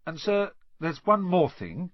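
A man's voice: sits high, big pitch swings, measured pace, dry recording, dull audio, voice pushed up. A man voicing an earnest, curious schoolboy wizard in an audiobook, questioning eagerly and thoughtfully. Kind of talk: audiobook character voice.

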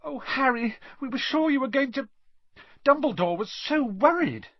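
A man voicing an anxious, earnest and excitable schoolgirl witch in an audiobook, speaking emphatically. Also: high voice, normal speed, breathy edge, no room sound, muffled recording, voice pushed up, full character voice. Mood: relieved, anxious. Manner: breathlessly emphatic, indignant. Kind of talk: audiobook character voice.

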